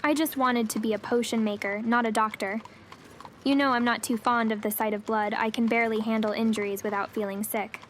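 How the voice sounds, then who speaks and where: A woman playing a modest, self-doubting young female satyr potion maker in a fantasy audio drama, soft-spoken and unassuming. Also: a little high, steady tone, brisk, slight background noise, close to an everyday voice.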